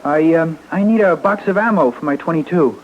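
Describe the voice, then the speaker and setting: A man's voice: fairly high pitch, a little fast, close to an everyday voice. An actor playing a sullen, resentful teenage boy in old radio suspense style. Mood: guarded. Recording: muffled, noisy old radio broadcast.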